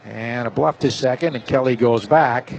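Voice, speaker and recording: A man's voice: medium pitch, normal speed, gravelly, darkish tone. A man working as a play-by-play announcer, giving live baseball commentary in the style of a radio broadcast. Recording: slightly dull broadcast, faint stadium noise.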